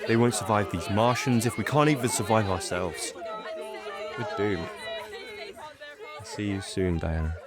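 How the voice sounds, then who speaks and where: A young man playing a despairing radio reporter who witnesses an alien invasion, speaking haltingly in a dramatic monologue. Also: lowish voice, over a noisy crowd, lightly acted. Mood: panicked, resigned. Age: young adult. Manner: halting, muttering, breaking off.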